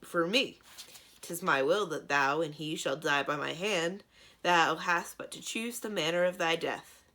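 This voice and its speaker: Woman voicing a loud, pompous giant djinn. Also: deep voice, a little slow. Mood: menacing, grand. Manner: archaic, grandly declaiming speech. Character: huge booming imprisoned djinn, stern male, pompous.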